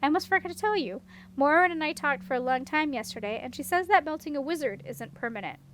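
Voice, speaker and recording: A practical, self-assured young woman in a storybook , as a female reader plays her. The voice is high, over faint noise. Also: lively intonation, quick pace, a bit bright. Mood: eager. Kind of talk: storybook character reading.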